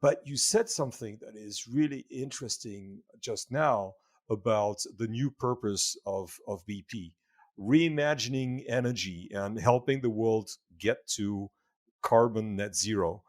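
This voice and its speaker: A man hosting a podcast, reading a scripted introduction and asking questions in a formal, deliberate way. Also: fairly low pitch, a little mellow. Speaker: podcast host.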